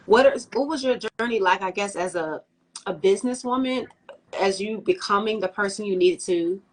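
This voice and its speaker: A woman's voice: medium pitch, normal speed, a little hiss or hum, low-quality recording. Upbeat woman hosting a live podcast. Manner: upbeat, welcoming, rambling questions. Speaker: podcast host. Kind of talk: livestream podcast interview.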